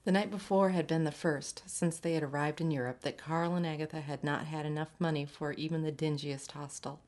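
A woman's voice: low-pitched, fairly even intonation, brisk, darkish tone. A woman reading a short story aloud as scripted literary narration.

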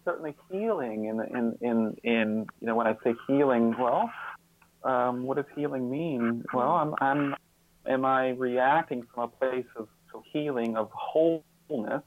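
A fifty-year-old man speaking as an interview guest, reflective and earnest, often halting and repeating words as he thinks. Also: medium pitch, phone-quality sound.

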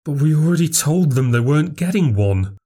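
A man's voice: fairly high pitch, lively intonation, brisk, warm tone, voice pushed up. A man doing an enraged, accusing father. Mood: tense. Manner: harsh and accusing. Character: middle-aged father, simmering rage boiling over. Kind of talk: audiobook character voice.

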